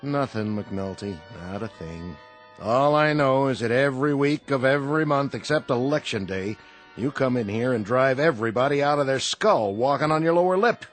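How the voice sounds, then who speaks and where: An actor playing a weary, plain-spoken American bartender with a dry, sardonic manner in a radio drama. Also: male voice, medium pitch, expressive, moderate pace, faint music behind, close to an everyday voice. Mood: weary, fed up.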